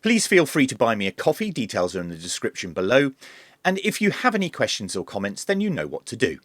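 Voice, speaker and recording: A man with a mid-pitched voice talks through a hands-on technical demonstration. His delivery is measured and informal, explaining as he works, like the host of a review channel. The sound is dry, with very little room in it. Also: wildly swooping pitch, a bit bright, no echo.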